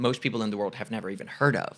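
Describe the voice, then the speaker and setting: Fast-talking American man telling stories. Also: fairly low pitch. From United States. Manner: earnest, animated storytelling with frequent "you know". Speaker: English teacher and guest. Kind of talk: casual interview chat.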